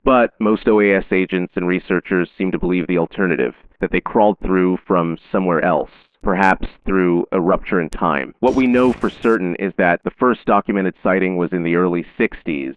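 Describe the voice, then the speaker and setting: Deep voiced guy doing solemn official horror broadcast narration, super smooth, sounds like its coming thru a phone line. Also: dry recording. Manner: measured, solemn, formal announcer delivery. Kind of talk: scripted analog-horror narration as an official safety bulletin.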